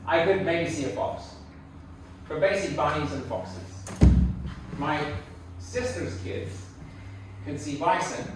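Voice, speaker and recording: A man's voice: medium pitch, distant mic. Echoey, middle-aged Englishman giving a talk. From England. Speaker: speaker giving a public talk. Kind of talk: conversational slide lecture. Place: indoor talk venue before an audience. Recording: echoey room from afar, faint noise.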